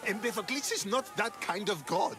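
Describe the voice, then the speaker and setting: Excitable male zealot, extremely high voice, swooping intonation, pompous, fervent, game character. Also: moderate pace, music in the background. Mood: pleading, reverent. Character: fanatically devoted, excitable male cult follower. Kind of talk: video game cutscene acting.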